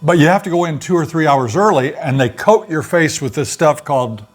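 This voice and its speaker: An older man co-hosting a casual chat show from home, folksy and chatty, explaining things at an easy, unhurried pace. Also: on the high side, expressive. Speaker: co-host of a retiree channel.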